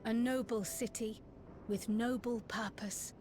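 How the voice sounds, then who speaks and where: A woman's voice: on the high side, steady tone, unhurried, darkish tone, close to an everyday voice. A woman playing a precise, studious scholar in a fantasy game, articulate and formal in an academic way. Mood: reflective. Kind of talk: scripted game cutscene acting. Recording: clear music behind the voice. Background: music.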